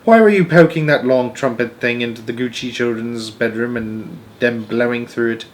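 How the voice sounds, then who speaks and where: A man's voice: mid-range voice, normal speed, slight background noise, close to an everyday voice. A man voicing a small, plucky storybook girl who is inquisitive, persistent and properly spoken. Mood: curious.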